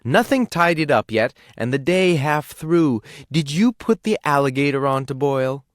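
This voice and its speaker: Man putting on a nagging cave wife, high shrill voice, loud, fussy, bossy, dry close sound. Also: expressive, no room sound, close to an everyday voice. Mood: scolding. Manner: scolding, shrill, fast-changing fuss. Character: bossy fussy cave housewife, middle-aged woman.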